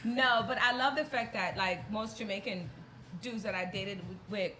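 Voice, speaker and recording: A woman's voice: medium pitch, normal speed, a little hiss or hum, low-quality recording. A woman in her thirties, a mixologist guest, chatting casually and laughing as she tells stories. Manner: laughing, animated storyteller. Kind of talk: casual chat.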